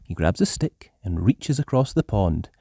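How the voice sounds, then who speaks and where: Very animated children's storyteller. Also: deep voice, normal speed, husky, no echo, clean studio sound. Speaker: storyteller and channel host.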